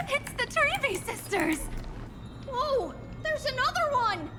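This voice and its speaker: A woman's voice: very high-pitched, sing-song, slow, full character voice. A woman voicing a cheerful, chatty little girl with a childlike voice in an anime game. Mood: excited. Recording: loud background music.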